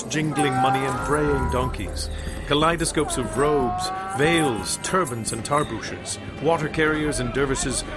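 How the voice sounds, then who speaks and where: A man narrating an exotic mystery tale for a radio drama in literary, measured phrasing. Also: medium pitch, lightly acted. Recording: music behind the narration.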